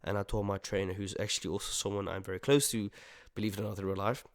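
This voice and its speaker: A man's voice: on the low side, level tone, quick pace, dry recording, clean studio sound. Casual young man rambling to viewers. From Netherlands. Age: 20s. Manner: rambling, slangy, swears, talks straight to the audience. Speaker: podcast host. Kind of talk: casual solo monologue to viewers.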